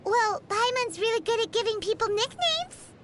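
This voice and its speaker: A woman voicing a tiny, childlike fairy companion in a video game, squeaky, cheeky, excitable and easily offended. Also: moderate pace, a little hiss or hum, exaggerated voice. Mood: cheerful, proud. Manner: refers to self in third person, exclaiming, bubbly. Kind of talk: English video game voice acting. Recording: music behind the voice. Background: music.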